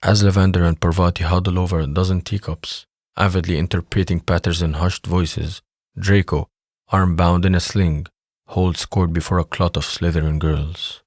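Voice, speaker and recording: A man's voice: sits low, little pitch movement, normal speed, no echo, clean studio sound. A man reading scripted narration for an audio drama.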